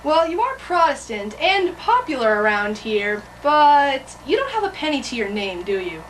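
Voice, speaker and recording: Deadpan teenage girl, far from mic. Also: high voice, moderate pace. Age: teens. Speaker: student actress. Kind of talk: school project sketch. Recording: distant mic, noisy room.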